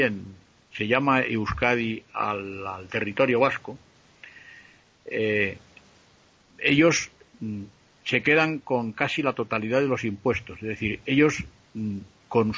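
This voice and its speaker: A man with a Spanish accent from Spain, explaining things casually and hesitantly, with drawn-out pauses. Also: mid-range voice, a bit bright, husky, lo-fi sound. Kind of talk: casual unscripted discussion.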